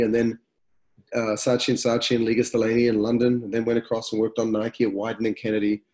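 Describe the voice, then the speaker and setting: A middle-aged man, hosting a webinar in a relaxed, conversational, reflective way, his nose blocked up from a cold. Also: medium pitch. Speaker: webinar host. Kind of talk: casual fireside-style webinar talk.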